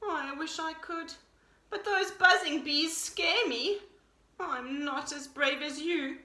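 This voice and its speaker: A woman voicing a sweet, timid young female deer in a storybook, with an anxious, expressive delivery. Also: high-pitched, slow, voice pushed up, exaggerated voice. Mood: apologetic, timid. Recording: roomy echo.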